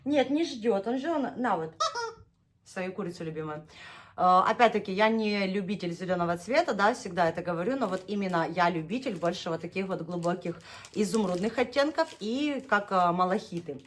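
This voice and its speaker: A woman's voice: medium pitch, animated, brisk. Chatty, gushing young woman vlogger. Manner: chatty and gushing, laughs often, drawls when naming shades. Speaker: knitting vlogger showing yarn. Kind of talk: casual unboxing chat.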